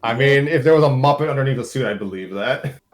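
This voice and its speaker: A man's voice: medium pitch, quick pace. A man narrating a comic story aloud in an informal group setting, with casual asides.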